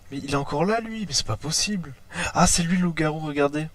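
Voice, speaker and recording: A man's voice: on the high side, quick pace. A man with a cold and a congested throat, chatting casually as he plays a life-simulation game and talks to his sim and his viewers. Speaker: let's play host. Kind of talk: casual gameplay commentary.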